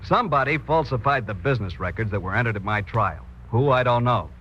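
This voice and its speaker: An actor playing an earnest, aggrieved American oil prospector in a 1940s radio drama. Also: male voice, mid-range voice, expressive, fast, natural voice. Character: earnest, aggrieved American oilman protesting his innocence. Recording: muffled, noisy vintage radio recording.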